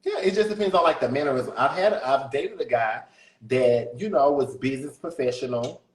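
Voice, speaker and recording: Man, deep voice, candid chatty guest, laughs a lot, echoey room. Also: brisk. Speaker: guest musician. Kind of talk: casual interview chat.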